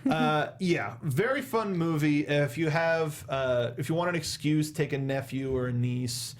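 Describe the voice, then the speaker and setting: A young man co-hosting a show in casual chat, jokey and a bit halting, talking close to a studio mic. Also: on the high side. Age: young adult. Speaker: co-host of a movie discussion show. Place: small indoor podcast studio. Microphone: close desk microphone with red foam cover.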